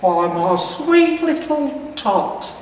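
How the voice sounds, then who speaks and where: A man's voice: very high-pitched, big pitch swings, voice pushed up, full character voice. Man voicing a sly old hissing creature, roomy sound. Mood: falsely sweet. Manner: hissing, grinning, drawn-out delivery. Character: sly, gleefully wicked old Grinch creature. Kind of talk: storybook character voice. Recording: roomy, distant, noisy recording.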